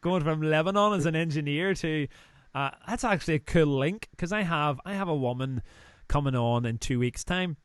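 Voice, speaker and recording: A man's voice: on the high side, normal speed, clean tone, no room sound, clean studio sound. A man in his thirties with a Northern Irish accent, hosting a live-stream interview in a chatty, joking, rambling way. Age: 30s. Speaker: host.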